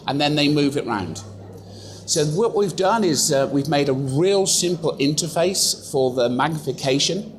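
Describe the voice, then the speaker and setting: An English man giving a chatty, self-deprecating live software demonstration to a conference audience, with lots of fillers. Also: fairly high pitch, background noise. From England. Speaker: software presenter. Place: conference room.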